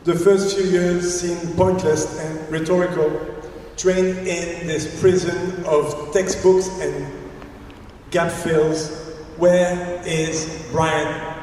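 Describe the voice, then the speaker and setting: A man's voice: a little high, flat delivery, slow pace, darkish tone, a little hiss or hum. A man whose first language is French, a poet and French teacher, reading poems aloud at a live event in a church. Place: large echoey church hall. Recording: echoey church hall, distant mic.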